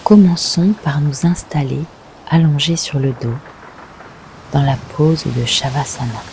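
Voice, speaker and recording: A woman leading a guided yoga nidra meditation, giving gentle, unhurried instructions with long pauses. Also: sits low, slight background noise, rough recording.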